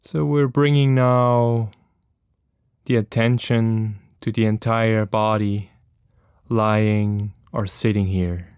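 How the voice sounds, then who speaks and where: A man guiding a body scan meditation as a teacher, giving gentle, unhurried instructions with long pauses. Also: lowish voice, fairly even intonation, clean tone, no room sound, studio quality.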